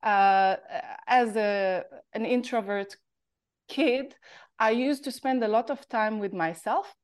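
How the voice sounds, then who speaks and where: Moroccan woman, interview guest, higher voice, thoughtful and unhurried with many pauses. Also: smooth. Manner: thoughtful, halting, pitch rising and falling. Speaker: guest, artist. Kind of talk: reflective interview storytelling.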